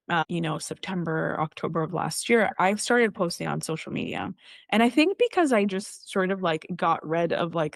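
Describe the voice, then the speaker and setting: A woman in her thirties with a lowish voice tells her own story in a loose, chatty way. She keeps saying "like" and "you know" and slips into quoting herself. The sound is close and dry, with no room around it. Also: gravelly, no room sound. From Israel. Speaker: guest. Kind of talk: casual storytelling interview.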